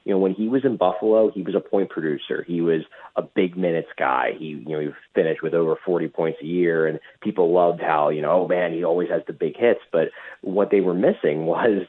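A man's voice: deep voice, brisk. A male sports reporter giving analytical hockey commentary in a radio interview, in hesitant phrases with repeated words. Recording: muffled, tinny phone line.

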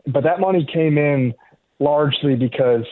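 A man giving measured, explanatory opinions as a golf journalist in a conversational radio interview. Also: on the high side. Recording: thin, tinny phone-like connection.

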